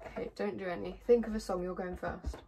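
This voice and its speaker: A young woman hosts a casual home vlog, explaining things in a chatty way. Her voice sits at an average pitch. It is recorded on a camera in a bedroom, with some noise and small clicks. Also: fairly even intonation, brisk. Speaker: vlog host. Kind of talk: casual chat explaining a game. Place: small bedroom indoors, sitting on a bed. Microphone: camera a couple of metres away.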